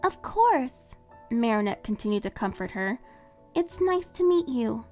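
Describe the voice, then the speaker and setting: A woman voicing a cheerful, eager teenage girl heroine who talks in a bubbly, excitable way. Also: fairly high pitch, a little slow, soft background music. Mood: upbeat.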